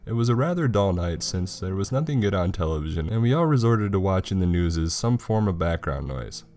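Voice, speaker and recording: A man's voice: low-pitched, very animated, fairly quick, darkish tone. A man reading a scripted horror story as its narrator. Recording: music behind the voice. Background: background music.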